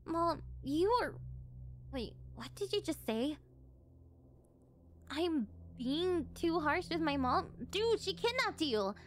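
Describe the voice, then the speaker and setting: A woman's voice: high voice, big pitch swings, takes it slowly, pauses often, a little hiss or hum, heavily acted. A woman voicing an exasperated, easily embarrassed teenage daughter in an anime-style audio roleplay, protesting emphatically. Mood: flustered.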